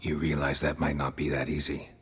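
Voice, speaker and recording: An actor with an American accent playing a stoic, gruff monster hunter, terse and deadpan, with a raspy voice, in a video game. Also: male voice, a bass voice, brisk, big-room sound, exaggerated voice. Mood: low, sympathetic. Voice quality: very gravelly, husky rasp.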